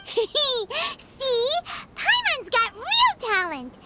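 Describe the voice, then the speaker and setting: A woman voicing a tiny, chirpy, excitable floating fairy companion in a video game, who talks about herself in the third person. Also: very high voice, extremely slow, heavily acted. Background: loud game music.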